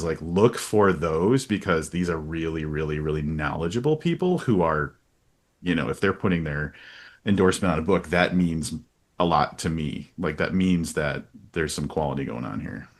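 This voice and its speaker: A man hosting a casual book-talk interview, speaking in an earnest, rambling and emphatic way. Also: sits low. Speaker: podcast host interviewing a publisher.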